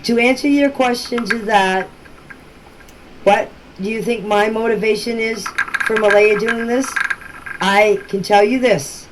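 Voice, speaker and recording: A woman giving informal explanations in voice messages, speaking in a plain, deliberate, matter-of-fact way. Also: a little high. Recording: noisy amateur voice-message recording.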